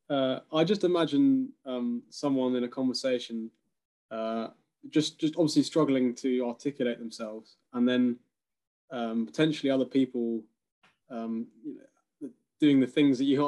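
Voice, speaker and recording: A man in a casual conversation, thinking aloud with frequent hesitations and soft laughs. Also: medium pitch.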